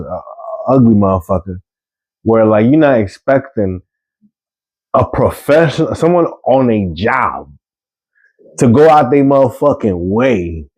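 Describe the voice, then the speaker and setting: Rambling man with a dark, mellow voice. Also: mid-range voice, no echo, poor audio. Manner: rambling, many "like" and "you know what I mean" fillers, half-serious musing. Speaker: podcast co-host. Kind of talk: casual comedic podcast banter.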